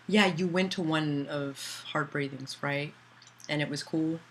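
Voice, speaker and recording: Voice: mid-range voice, fairly even intonation, slow, a bit bright. An author livestreaming to patrons, chatting loosely and answering chat messages aloud, with many fillers and restarts.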